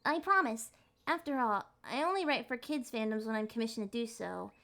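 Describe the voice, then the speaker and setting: A woman's voice: a little high, a little fast, pushed and bright. A woman voicing an adorkable young kitsune in an ASMR roleplay, with a flustered, hesitant, primly formal way of talking. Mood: proud, bashful. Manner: flustered, hesitant, primly wordy, awkwardly insisting on maturity. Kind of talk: ASMR roleplay, acted one-sided date conversation.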